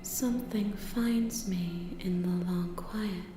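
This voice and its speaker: A woman playing a solemn, tender otherworldly being in a visual novel game, speaking slowly and poetically. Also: fairly low pitch, dark tone, slight background noise. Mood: solemn, gentle. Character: solemn, tender otherworldly entity of the long quiet. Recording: distant, heavily echoing, music underneath.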